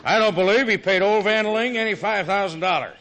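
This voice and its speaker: Shifty saloon-keeper, Old West villain, sharp tone, raised pitch, blustering and defensive, radio drama. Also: male voice, brisk. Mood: insinuating. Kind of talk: old-time radio western acting.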